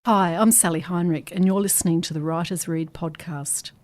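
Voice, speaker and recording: A woman's voice: on the low side, steady tone, moderate pace, a little mellow. A woman speaking casually as an author guest on a podcast. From South Australia. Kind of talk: casual interview talk. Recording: noticeable background ambient noise.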